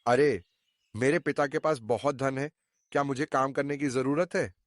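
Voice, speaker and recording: A lazy, entitled eldest son, played by a man in a cartoon fable. He is mid-pitched and recorded dry, with a self-assured, demanding way of talking. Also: fairly even intonation, normal speed, dry recording, natural voice. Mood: dismissive.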